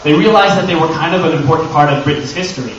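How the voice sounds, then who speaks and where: Animated American man presenting in an echoey room. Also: a little high, racing. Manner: animated, conversational, self-deprecating joking. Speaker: convention panel presenter. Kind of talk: informal live presentation to an audience. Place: convention panel room. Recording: echoey, dull, noisy room from far off.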